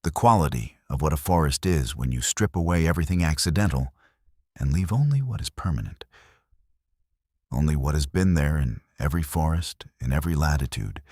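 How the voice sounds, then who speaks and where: Male sleep-meditation narrator, bass-deep, contemplative scripted delivery, very dry sound. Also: a little mellow, breathy edge, dry recording.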